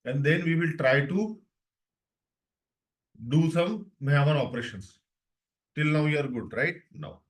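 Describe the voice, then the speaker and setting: A man's voice: a little high, measured pace, long pauses. A young man with an Indian English accent teaching a live online tech lesson, repeating himself and often checking that students follow. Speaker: DevOps instructor.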